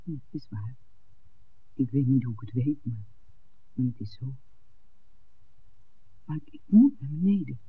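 A smitten innkeeper's daughter in an old radio play. Her voice is breathy and lively, hesitant and intimate. The recording is narrow, like a telephone. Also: extremely low pitch, a little slow, pauses often, heavily acted. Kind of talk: 1960s Dutch radio drama acting.

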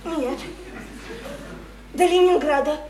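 A woman's voice: high voice, slow, a little mellow, echoey room. An actress playing a simple-hearted, devoted woman near thirty in a radio play, imploring and naively repeating herself. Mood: tearful pleading. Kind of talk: theatrical radio play acting. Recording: faintly noisy 1991 radio play recording.

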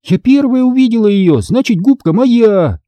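Man voicing an excitable, high-pitched indignant young girl. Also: moderate pace, dry recording. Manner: insistent, exclamatory.

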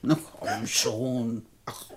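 A shrewd older lady in a radio crime comedy. She is theatrical and deliberate, with wry mockery and a forgiving streak beneath it. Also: rumbling low, heavily acted. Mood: amused, forgiving.

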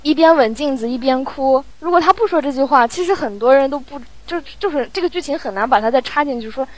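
A woman's voice: high voice, lively intonation, fast, low-quality recording. A woman taking part in a casual panel discussion about an audio drama.

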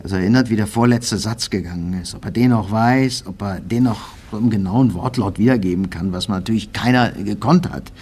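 A man's voice: fairly low pitch, brisk, hardly any pauses, a little mellow, slightly rough. An actor playing an old acquaintance reminiscing in an interview, colloquial and circling back over his phrases. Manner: colloquial, repetitive storytelling. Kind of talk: conversational recollection.

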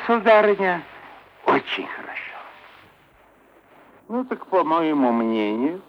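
Oily, creaky, pompous schemer. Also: male voice, mid-range voice, full character voice. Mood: coaxing, satisfied. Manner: unctuous, pompous, deliberate officialese with coaxing diminutives. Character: oily scheming merchant's son-in-law, pompous, wheedling, middle-aged official. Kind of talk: theatrical classic Russian stage acting. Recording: dull vintage radio recording, faint hiss.

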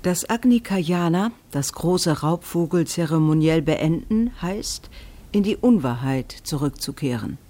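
An actor playing a grave teacher of ancient ritual lore in a radio drama, speaking in a measured way. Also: medium pitch, lively intonation, warm tone, lightly acted.

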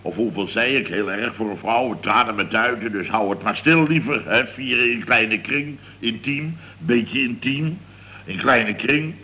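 A man's voice: medium pitch, a little slow, pushed and bright, a little hiss or hum. A man playing a blustering, muddled contractor boss in 1970s radio comedy, flustered, stammering and repeating himself. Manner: flustered, repetitive, stammering restarts.

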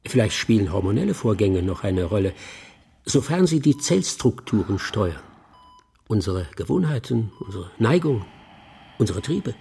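An actor playing a detached, confident surgeon who explains things clinically in a radio crime drama. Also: male voice, fairly low pitch, sing-song, normal speed, exaggerated voice. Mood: speculative. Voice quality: mellow, husky, creaky.

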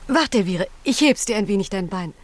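An actress playing a serene, fervent young mother in a 1950s German radio play, earnest, lyrical and often hushed. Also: mid-range voice, expressive, fast, lightly acted.

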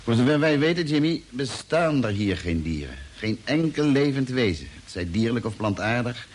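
A man's voice: medium pitch, sing-song, moderate pace, close to an everyday voice. An actor playing a sceptical, authoritative space crewman with a precise, matter-of-fact delivery in mid-century radio drama style. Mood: sceptical, dismissive. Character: Mitch, sceptical, authoritative male space engineer. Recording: noisy old radio play recording.

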